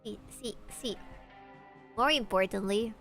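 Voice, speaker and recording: A woman's voice: medium pitch, slow, faint music behind. A young woman from Peru whose first language is Spanish, chatting casually and swearing freely while streaming a game. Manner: chatty and animated, swears freely, reacts to chat.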